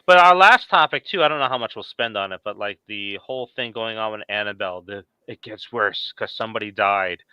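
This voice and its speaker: Smooth-voiced man hosting, slightly dull audio. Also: fairly low pitch, a little fast, few pauses, no room sound. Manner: informal, conversational. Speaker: podcast host. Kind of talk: casual panel podcast chat on horror movies.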